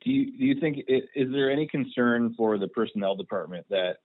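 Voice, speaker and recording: A man working as a sports reporter, asking questions haltingly at a press teleconference and rewording as he goes. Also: mid-range voice, phone-quality sound. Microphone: teleconference phone line. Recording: thin phone conference line.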